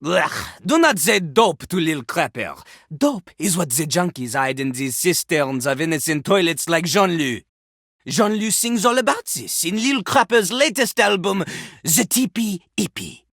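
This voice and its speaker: A woman's voice: fairly low pitch, lively intonation, a little slow, fairly bright tone, husky, dry recording, studio quality, exaggerated voice. A woman voicing a boastful, vulgar male French rapper toilet in a video game, with a French accent and a pompous, theatrical delivery that slips into rap. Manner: pompous, refers to himself in the third person.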